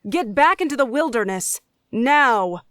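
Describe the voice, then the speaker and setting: Woman acting a high-voiced, bossy warrior princess. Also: expressive, unhurried, a bit bright, dry recording, studio quality. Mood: angry, commanding. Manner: scolding, commanding. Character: stern, bossy warrior princess scolding nymphs. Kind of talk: fantasy audio drama acting.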